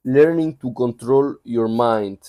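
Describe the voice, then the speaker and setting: A man's voice: mid-range voice, slow pace, warm tone. A man with a Spanish accent summarizing a book in a loose, conversational way, full of asides. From Spain. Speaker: book reviewer. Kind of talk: casual book summary talk.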